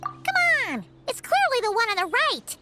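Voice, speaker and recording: Game companion: tiny, blunt, curious, squeaky and extremely high-pitched. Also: female voice, wildly swooping pitch, moderate pace, heavily acted. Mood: excited. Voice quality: squeaky, childlike. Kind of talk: video game voice acting. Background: loud game music.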